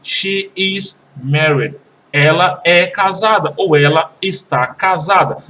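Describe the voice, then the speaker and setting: A Brazilian man teaching an English lesson, switching between languages and repeating example sentences slowly and carefully. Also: high-pitched, bright, forceful tone, far from the mic, a little hiss or hum, low-quality recording. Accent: Brazilian Portuguese.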